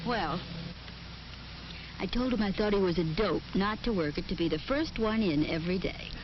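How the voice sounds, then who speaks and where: A woman's voice: medium pitch, expressive, normal speed, close to an everyday voice. An actress playing a prim, skeptical young otherworldly woman, a little hesitant and coy, in a 1950s training film. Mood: needling. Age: young adult. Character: prim, skeptical young woman from the world above, posing as a writer. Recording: muffled, noisy 1950s film soundtrack.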